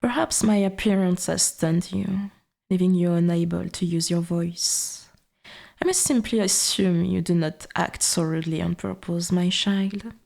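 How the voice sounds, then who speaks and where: A woman voicing an ancient, dominant forest god in an ASMR roleplay, speaking in formal, archaic wording with a breathy half-whisper and quiet menace. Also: lowish voice, measured pace. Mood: condescending, veiled threat.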